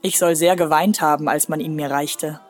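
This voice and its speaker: A woman's voice: deep voice, fairly even intonation, normal speed, faint music behind. Composed village bride and mother. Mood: sorrowful, tender. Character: proud, sorrowful village bride and mother in her forties. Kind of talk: literary radio play acting.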